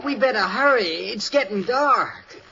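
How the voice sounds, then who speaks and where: A very noisy vintage radio recording of an American teenage boy. He is high-voiced and slow-paced, a glum wisecracker who needles in sitcom style. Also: expressive, vocal fry, heavily acted. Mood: glum, complaining. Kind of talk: old-time radio comedy acting.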